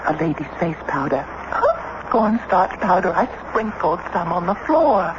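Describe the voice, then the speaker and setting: An actress playing a poised, sharp-witted statesman's wife in a period radio drama, genteel and theatrical. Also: lowish voice, expressive, a little slow. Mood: assured. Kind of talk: old-time radio drama acting. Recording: muffled, very noisy vintage radio recording.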